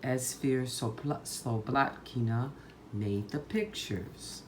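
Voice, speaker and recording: A woman reading a children's story aloud in a deliberate, emphatic, dramatic way. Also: rumbling low, slight background noise. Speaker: storytime reader.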